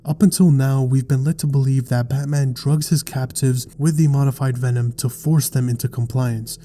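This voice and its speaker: Very dark, mellow male narrator. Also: mid-range voice, steady tone, normal speed, a little hiss or hum. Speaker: video essay narrator. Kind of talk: scripted explainer narration.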